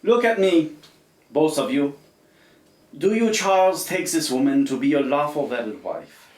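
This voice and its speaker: An actor playing an imperious, pompous naval captain, commanding and formal, in a live radio play. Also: male voice, on the high side, unhurried, a little mellow, lightly acted. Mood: formal, officious. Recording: roomy live stage recording.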